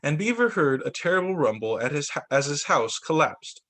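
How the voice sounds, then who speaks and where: A man reading picture books aloud to young students as a teacher, expressive, adding his own off-the-cuff remarks. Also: fairly high pitch, moderate pace.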